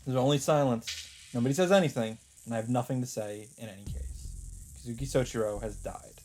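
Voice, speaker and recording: A man's voice: medium pitch, a little fast, a little mellow, poor audio. A man hosting a let's play, reading game narration aloud with casual asides. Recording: game music faintly behind voice. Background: faint game music.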